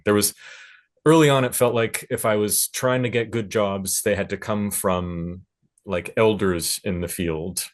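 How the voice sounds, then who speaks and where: Man, low voice, panel discussion, reflective, thinking aloud, halting phrasing. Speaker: panelist, record producer and recording engineer.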